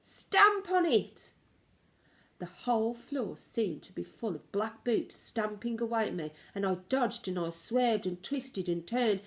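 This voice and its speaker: A woman narrates a children's story in the manner of a teacher reading aloud. Her medium-pitched voice is expressive, leaning on key words with dramatic, varied emphasis.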